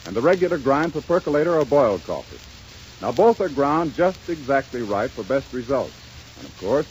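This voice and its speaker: A man making a polished, emphatic sales pitch as a 1930s radio commercial announcer. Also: darkish tone, slight background noise, poor audio.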